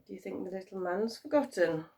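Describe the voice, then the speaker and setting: A woman teacher reading a story to young children in an expressive, dramatic storytelling style. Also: mid-range voice, fairly quick, creaky, recorded from a distance.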